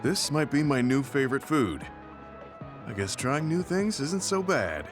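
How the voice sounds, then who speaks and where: An actor playing a reserved, old-fashioned veteran soldier in a video game, speaking in a measured, formal, serious manner. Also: male voice, medium pitch, sing-song. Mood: reserved, mildly pleased. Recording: background music over dialogue.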